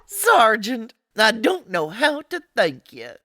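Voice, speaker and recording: A highish, extremely swooping voice for a kindly frontier baker acted by a woman. She sounds close and dry, in radio-play style. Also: wildly swooping pitch, slow, pushed and bright, dry recording, exaggerated voice. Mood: grateful. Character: grateful, kindly frontier pie-baking woman. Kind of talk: audio drama acting.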